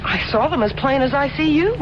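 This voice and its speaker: A woman's voice: high-pitched, lively intonation, fairly quick. A woman acting an earnest, unsettled young wife in an old-time radio mystery. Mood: earnest, haunted. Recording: muffled old radio broadcast under loud engine noise.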